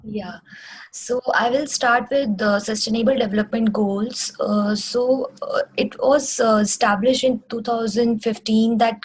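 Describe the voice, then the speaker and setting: A woman's voice: fairly high pitch, fairly even intonation, a little slow, slightly rough, rough recording. A young woman from India, a recent business graduate, presenting a webinar in a hesitant way. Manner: hesitant, with fillers and restarts.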